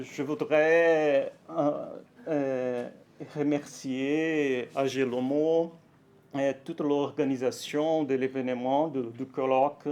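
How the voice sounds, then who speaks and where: A Brazilian man with a Portuguese accent giving a conference talk in French, halting and careful, with frequent fillers and self-corrections. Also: medium pitch. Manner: nervous, warm, laughs lightly. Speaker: academic speaker at a conference.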